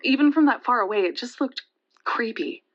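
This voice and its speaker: A woman playing a nervous caller to a radio show, haltingly telling a frightening memory. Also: on the high side, animated, highs cut off, lightly acted. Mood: uneasy, haunted. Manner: hesitant, halting. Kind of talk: halting personal storytelling, audio drama.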